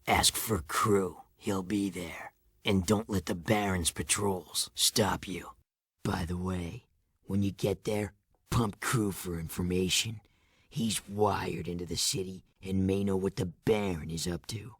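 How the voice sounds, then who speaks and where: A sardonic male resistance commander from a video game. His voice is deep and husky, and he gives orders tersely in a close, dry recording. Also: fairly even intonation, unhurried, dry recording, exaggerated voice. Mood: commanding. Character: gruff, sardonic male underground resistance leader. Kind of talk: video game cutscene acting.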